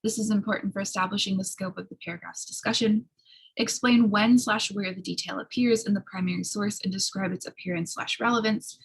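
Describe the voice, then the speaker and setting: Slightly hoarse woman lecturer with creaky voice. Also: medium pitch, fairly even intonation, a little fast, low-quality recording. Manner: instructional, frequent checking tags like "right?". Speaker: college writing instructor. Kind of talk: explanatory online lecture.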